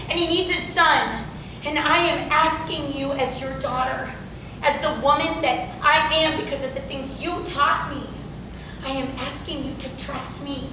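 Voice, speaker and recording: High-voiced fierce loyal wife, played by a woman, echoey. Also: pushed and bright. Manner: pleading, firm, insistent. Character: fiercely loyal, strong-willed working-class wife and mother. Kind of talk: theatrical table reading of Southern Gothic tragedy. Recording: very echoey, distant room with rumbling noise.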